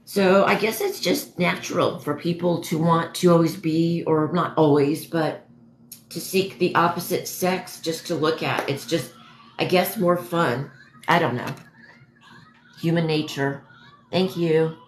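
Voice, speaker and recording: A hoarse young woman chatting and rambling on a livestream from home, reading viewer comments. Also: sits low, fairly even intonation, measured pace, echoey room, slight background noise, poor audio. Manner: chatty, rambling, self-correcting. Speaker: livestream host. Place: dim home room at night. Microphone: phone camera at arm's length.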